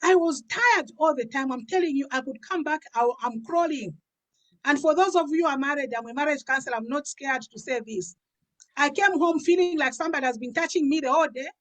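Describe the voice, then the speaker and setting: A woman's voice: sits high, a little fast, fairly bright tone, low-quality recording. A woman. She gives a personal testimony conversationally, like a pastor sharing her own story. She repeats phrases to drive her points home. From Africa. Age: 50s. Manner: emphatic, repeats phrases for stress. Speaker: pastor giving a health and business testimony.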